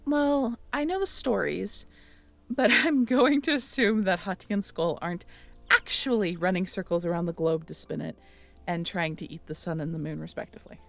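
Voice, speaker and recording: A woman acting a caring, teasing, curious young girlfriend in an intimate roleplay audio drama, talking softly and conversationally to the listener. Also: fairly low pitch, moderate pace, creaky, faint music behind. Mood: playful teasing. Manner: soft, intimate, conversational, playful.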